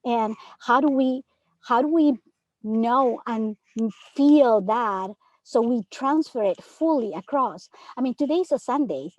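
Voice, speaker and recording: A woman's voice: a little high, expressive, takes it slowly, darkish tone, no room sound, highs cut off. Earnest woman from Peru, dry close sound. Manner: earnest, emphatic, many rhetorical "right?". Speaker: third grade teacher. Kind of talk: panel discussion.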